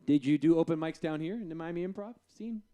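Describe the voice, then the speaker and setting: A man's voice: fairly high pitch, fast talker, warm tone, clean tone, muffled recording. A man hosting a casual podcast chat in a studio, rambling and restarting his sentences as he tells a story. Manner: rambling, restarts often, laughs at his own jokes. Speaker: podcast host. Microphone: headphones and studio mic.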